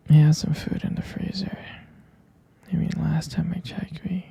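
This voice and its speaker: A soft, breathy performer murmuring hesitantly and affectionately as a doting lover in an intimate ASMR romantic roleplay. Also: mid-range voice, fairly even intonation, moderate pace. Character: tender, doting vampire lover soothing a sweetheart.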